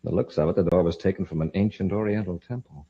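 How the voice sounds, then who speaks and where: Heroic male commander, husky, fast-talking, decisive, vintage radio drama, dull recording. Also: low-pitched, fairly even intonation. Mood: curious, assured. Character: decisive, curious space patrol commander, heroic man. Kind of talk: 1950s radio serial acting. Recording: muffled old radio recording.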